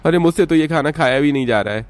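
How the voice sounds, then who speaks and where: A man's voice: a little high, quick pace, natural voice. A man playing a poor family father in a cartoon story, fretful but caring, speaking earnestly. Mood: fed-up. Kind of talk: Hindi cartoon story voice acting.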